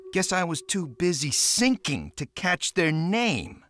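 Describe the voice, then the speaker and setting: An actor playing a vengeful young navy lieutenant turned pirate, cocky and brooding, in a hard-boiled, wry video game style. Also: male voice, high voice, big pitch swings, slow, fairly bright tone, heavily acted. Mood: defiant. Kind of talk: video game cutscene narration and dialogue. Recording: music under the voice.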